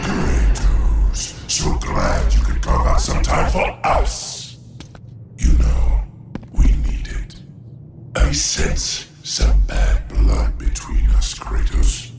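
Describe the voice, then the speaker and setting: Loud music surrounds a menacing god of the underworld. The voice is extremely low and gravelly, sneering and taunting in theatrical video game style, with huge swoops. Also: male voice, huge pitch swings, drawn-out words, full character voice. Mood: mocking menace. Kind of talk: video game cutscene acting.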